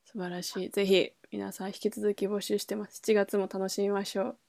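A woman's voice: medium pitch, little pitch movement, a little fast, creaky, darkish tone, no echo. A woman co-hosting a podcast in casual, colloquial conversation. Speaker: podcast co-host, performing musician. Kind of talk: casual chat.

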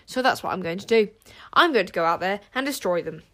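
A woman's voice: medium pitch, animated, fast talker, a bit bright, close to an everyday voice. A woman voicing a moody young boy wizard in a storybook, talking sulkily and with determination. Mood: determined. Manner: sulky, determined.

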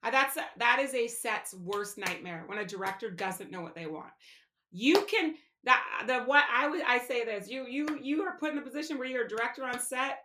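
Frank woman director, bright tone, chatty fillers, echoey room sound. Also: fairly high pitch, a little hiss or hum. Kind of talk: candid interview talk. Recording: roomy echo.

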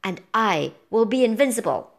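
A woman's voice: medium pitch, animated, normal speed, creaky. A woman voicing a wicked, ancient witch empress in a storybook, barking orders in a shrieking, commanding manner. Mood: gloating. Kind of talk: storybook character voice.

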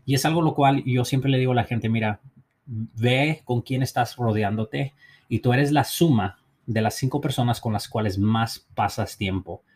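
A man's voice: mid-range voice, normal speed, a little mellow. Male podcast host, middle voice, earnest and encouraging, restates lines as he goes. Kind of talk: motivational talk.

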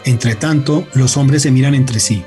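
Un hombre narra una radionovela con guion, de manera medida, literaria y dramática. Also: male voice, mid-range voice, mellow, soft background music. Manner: measured, literary, dramatic. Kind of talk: scripted radio drama narration.

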